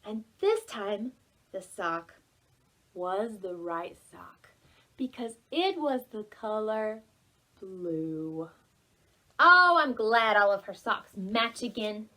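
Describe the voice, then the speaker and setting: A woman leading preschool storytime, reading aloud in an expressive, playful way and speaking directly to young children. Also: medium pitch, takes it slowly.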